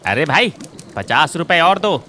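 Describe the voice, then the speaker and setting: A man voicing a scheming, mocking food-stall vendor with a sneering, drawn-out delivery in a cartoon moral story. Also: fairly high pitch, sing-song, bright, forceful tone, a little hiss or hum, heavily acted. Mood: sly. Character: scheming, mocking adult male biryani street vendor.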